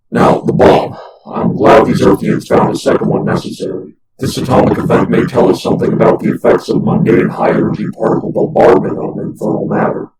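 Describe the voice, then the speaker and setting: Demon overlord scientist, low, clinical, formal, distorted effect, noisy distant recording. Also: male voice, gravelly. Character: cold, detached commanding demon scientist.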